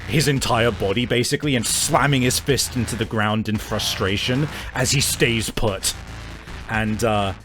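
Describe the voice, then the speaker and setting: A man running a tabletop roleplaying game as game master, narrating in a halting, improvised way. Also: medium pitch, noisy background.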